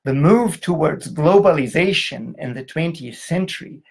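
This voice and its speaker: A middle-aged man from Germany giving an online lecture over a video call, talking in a halting way with restarts. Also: a little high, expressive, creaky, slightly rough. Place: indoor room, video call.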